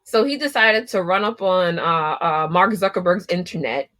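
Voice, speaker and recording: A woman's voice: lowish voice, normal speed, fairly bright tone. A young adult woman from Guyana co-hosting a podcast, talking bluntly and with plenty of profanity in casual chat. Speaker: podcast co-host.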